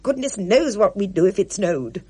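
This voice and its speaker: A woman voicing a cheerful, excitable little older woman who chatters merrily in a high, fluttery voice. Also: moderate pace, husky. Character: chirpy little red-faced older woman.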